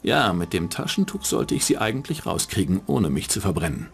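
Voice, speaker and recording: An actor playing a cynical, hard-boiled private detective in a dubbed game, with a dry, sardonic delivery. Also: male voice, low voice, sing-song, a little fast, gravelly, husky, exaggerated voice. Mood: dry, wry. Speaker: narrating game protagonist.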